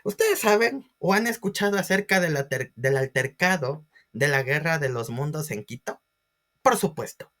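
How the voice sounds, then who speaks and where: A man's voice: a little high, big pitch swings, normal speed, bright, forceful tone. Man teaching with theatrical emphasis. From Ecuador. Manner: theatrical emphasis, rhetorical questions to listeners. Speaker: history teacher. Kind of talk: animated storytelling lecture.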